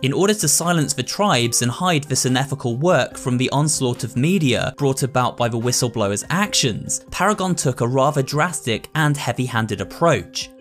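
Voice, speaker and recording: A man giving a steady, scripted narration explaining a game's story. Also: medium pitch, normal speed, hardly any pauses, soft background music.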